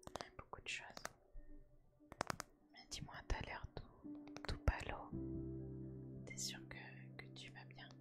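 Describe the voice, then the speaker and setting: A woman whispering gently and caringly in an intimate ASMR style, like chatting with a friend. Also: unhurried, pauses often. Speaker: ASMR roleplay host. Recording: music clearly behind the whisper.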